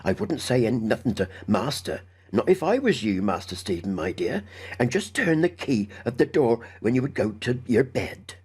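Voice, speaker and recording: A man's voice: mid-range voice, very animated, normal speed, darkish tone, husky. A man voicing a fussy working-class housekeeper woman with folksy, emphatic speech in a storybook reading. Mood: uneasy, confiding.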